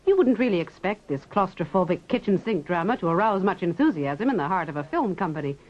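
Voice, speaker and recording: A woman reporter giving polished, lively scripted newsreel narration and asking interview questions. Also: lowish voice, a little fast. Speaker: reporter and presenter. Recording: dull 1960s film soundtrack.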